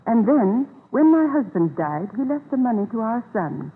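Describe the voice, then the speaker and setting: A woman's voice: a little high, big pitch swings, moderate pace, slightly rough, exaggerated voice. A woman acting a genteel, aggrieved widowed mother in a 1930s radio drama, speaking in a plaintive and hesitant manner. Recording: tinny, scratchy 1930s radio recording.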